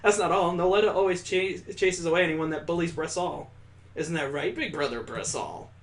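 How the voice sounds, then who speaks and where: A man's voice: fairly high pitch, moderate pace, faint music behind, close to an everyday voice. Man putting on a cheeky, teasing young girl. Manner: teasing, bouncy, sing-songy. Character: cheeky, confident young girl who teases her friends.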